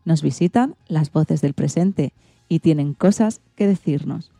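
Low-pitched mellow woman radio host from Spain. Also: a little slow, no echo, clean studio sound, faint music behind. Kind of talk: scripted radio presenting.

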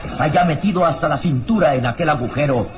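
A man's voice: a little high, fast. A man narrating a radio drama from a script in a theatrical, ominous and florid manner.

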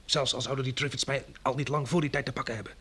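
Shrewd farmer, husky middle-pitched voice, measured, persuasive, radio drama. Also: male voice, steady tone, a bit bright. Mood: urgent, conspiratorial. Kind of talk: Dutch radio drama acting.

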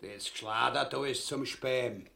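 An actor playing a gluttonous, crude, clownish husband in a farcical radio play, with a Viennese Austrian accent and exaggerated, coarse delivery. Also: male voice, mid-range voice, vocal fry, pushed and bright. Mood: indignant. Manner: drawn-out, exaggerated, coarse delivery with big swings.

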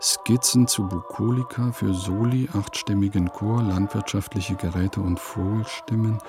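An actor playing a wry traveling letter-writer in a radio play, with a measured, deliberate delivery that savors every odd detail. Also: male voice, lowish voice, fairly even intonation, over loud music. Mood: dry, wry. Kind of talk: literary radio play monologue, scripted narration.

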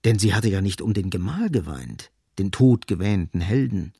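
An actor as the sardonic narrator of a literary radio play, gravelly and husky, speaking with ironic, dramatic emphasis. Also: male voice, sits low, very animated, normal speed, mellow, full character voice. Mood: grave irony.

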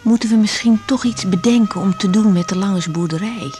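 An actress playing a young woman doctor in a 1980s Dutch radio drama, caring, brisk and direct in a conversational way. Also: medium pitch, warm tone, soft background music, lightly acted. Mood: pensive.

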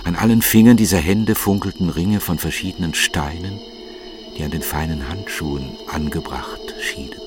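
A man giving a measured, solemn scripted narration of a literary audiobook. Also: low-pitched. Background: music.

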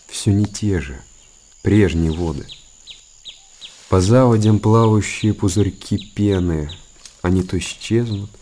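An actor playing an aging, world-weary hermit monk in a radio monologue, speaking in a measured, pensive and solemn way. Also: male voice, sits low, background noise. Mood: resigned, pensive.

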